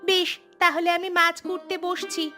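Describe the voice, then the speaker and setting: Very high-pitched chirpy housemaid. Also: female voice, little pitch movement, measured pace, sharp tone, faint music behind, heavily acted. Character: chatty, cheeky young female village housemaid. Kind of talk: cartoon voice acting.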